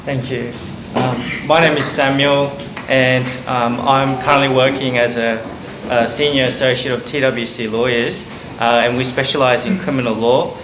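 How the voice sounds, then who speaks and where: Lawyer speaking carefully, with frequent ums. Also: male voice, medium pitch, steady tone, moderate pace. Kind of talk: panel remarks. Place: community forum venue. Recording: echoey hall, light noise.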